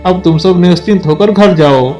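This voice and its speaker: A grown male cartoon bird, acted by a man, with a slightly high voice over faint music. He talks bluntly and persuasively, like a village elder. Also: normal speed, a little mellow, natural voice. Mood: matter-of-fact. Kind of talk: Hindi cartoon story dialogue.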